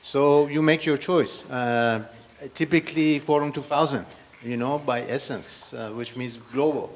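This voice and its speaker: A man's voice: mid-range voice, a little slow, a little hiss or hum. A man from Iran speaking as a scholar on a panel, hesitant and full of fillers. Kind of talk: panel talk.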